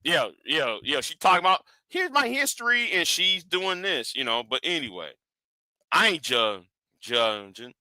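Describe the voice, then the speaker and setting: A man's voice: a little high, very animated, unhurried, pushed and bright, dry recording. A man in his forties, a live stream host, rambling in casual commentary with lots of stammered repeats. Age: 40s.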